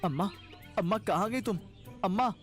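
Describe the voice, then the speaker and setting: A man acts a kindly young village farmer burdened by troubles in a cartoon folk tale. His voice is on the high side, earnest and plaintive. Music runs behind it. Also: normal speed, fairly bright tone. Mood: puzzled. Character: kind-hearted, troubled young farmer husband. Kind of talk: Hindi cartoon story acting.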